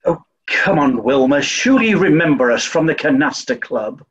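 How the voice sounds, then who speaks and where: A man's voice: on the high side, big pitch swings, normal speed, a little hiss or hum. A man playing a dim, grumpy upper-class sleuth, theatrically exasperated, in a radio play. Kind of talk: 1940s-style radio play acting. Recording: muffled, dull online audio.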